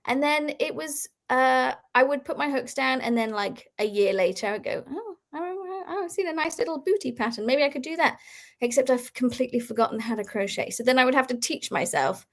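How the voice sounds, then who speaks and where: A woman's voice: sits high, animated, a little fast. A woman in her thirties who grew up in Belgium, chatting casually and laughing often as an interview guest. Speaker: guest crochet designer.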